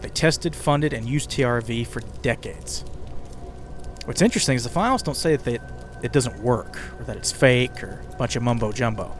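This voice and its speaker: A man's voice: medium pitch, animated, moderate pace. A man hosting a podcast with conversational scripted narration, slipping in casual asides to the listener. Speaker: podcast host and writer. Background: ambient music bed with crackling fire sounds.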